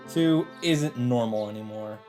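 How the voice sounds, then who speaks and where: A man hosting a let's play, reading visual novel narration aloud in a measured, dramatic way with asides. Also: mid-range voice. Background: guitar game music.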